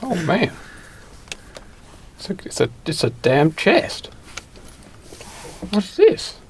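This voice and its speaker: A man's voice: sits high, wildly swooping pitch, takes it slowly, a little hiss or hum, pitched above the performer's own voice, heavily acted. A man playing a simple, grumbling, cursing labourer who mutters to himself in a comic amateur radio play. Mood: surprised, grumbling.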